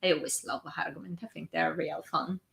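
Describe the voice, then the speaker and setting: A woman's voice: lowish voice, steady tone, a little fast, vocal fry, fairly bright tone. A woman with a strong Italian accent who reads a story aloud on a live stream, with chatty, self-conscious asides. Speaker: fan fiction reader and live streamer.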